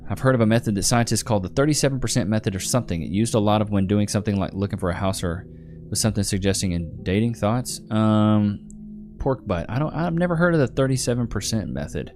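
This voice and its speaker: Man, livestream host, deep-ish relaxed chatter, soft guitar music behind. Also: fast. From Texas. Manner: laid-back, calls viewers bro and brother. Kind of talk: casual livestream chat reading comments.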